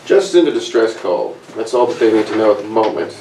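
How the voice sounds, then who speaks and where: A man's voice: lowish voice, big pitch swings, brisk, mellow. A man playing a terse spaceship commander at a sci-fi table read. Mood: terse, commanding. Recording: echoey room, distant mic, faint noise.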